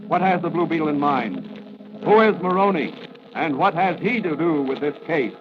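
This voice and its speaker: A man acting as a dramatic announcer for a vintage radio serial. He narrates theatrically and with suspense in a slightly high voice, muffled and with some faint noise. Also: lively intonation, normal speed, natural voice. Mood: suspenseful. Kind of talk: scripted narration, 1940s radio.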